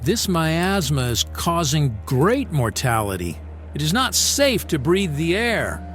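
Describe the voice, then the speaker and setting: A man voicing a grave plague doctor who gives stern, deliberate warnings in an audio drama. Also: a little high, big pitch swings, a bit bright, soft background music, pitched above the performer's own voice, full character voice.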